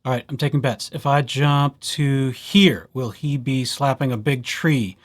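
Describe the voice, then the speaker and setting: A man presenting a casual software tutorial as a library host, with playful, wry asides. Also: mid-range voice, steady tone, unhurried. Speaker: library presenter hosting a digital services tutorial.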